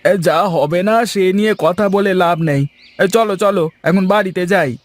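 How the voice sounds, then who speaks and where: Man playing a weary village farmer, highish voice. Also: moderate pace, natural voice. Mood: weary, grumbling. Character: weary village farmer husband, grumbling about heat. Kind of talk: cartoon folk tale dialogue.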